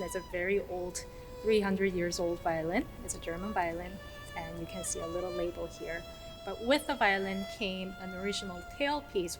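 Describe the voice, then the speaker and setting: Woman musician from South Korea over music. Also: mid-range voice. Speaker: orchestral violinist. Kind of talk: casual scripted-outline self-introduction to camera.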